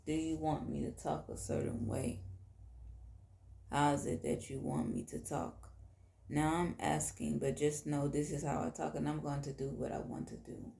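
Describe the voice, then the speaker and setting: A woman with a Southern US accent and a hoarse, tired voice, hosting an intimate late-night podcast monologue in deliberate, drawn-out phrases. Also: low voice, flat delivery, warm tone, reverberant. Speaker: podcast host.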